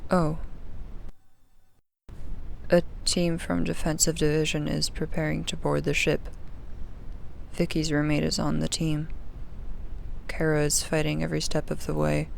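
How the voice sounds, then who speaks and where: A woman playing a sardonic, frustrated young spaceship crew member recording a personal log in an audio drama, with a dry, sweary, grumbling manner and a creaky voice. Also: low-pitched, monotone, a little slow, long pauses, slight background noise, exaggerated voice, rough recording. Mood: tense.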